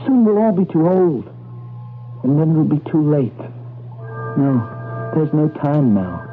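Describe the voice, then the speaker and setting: A man's voice: fairly high pitch, big pitch swings, slow. An actor playing a defiant, impassioned male rebel with an urgent, emphatic delivery in a 1980s radio drama. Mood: earnest, insistent. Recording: tinny vintage radio broadcast, faint music behind.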